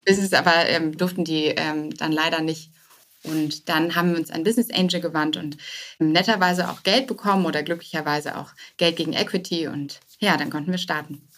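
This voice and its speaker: A woman's voice: sits low, steady tone, a little fast, fairly bright tone. A woman answering as a podcast guest, explaining informally and often rephrasing herself as she goes. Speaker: guest, startup co-founder. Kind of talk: conversational podcast interview answers.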